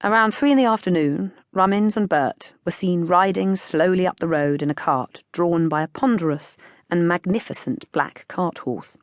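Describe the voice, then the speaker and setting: A woman's voice: on the low side, moderate pace, no room sound, studio quality. A woman with a British accent reading literary fiction as an audiobook narrator.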